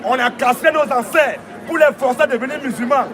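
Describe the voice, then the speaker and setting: A man's voice: extremely high pitch, fairly quick, over music, crowd noise. A man preaching outdoors in a city, declaiming in short repeated phrases that a partner answers. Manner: declamatory, repetitive, call-and-response. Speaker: street preacher. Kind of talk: open-air sermon.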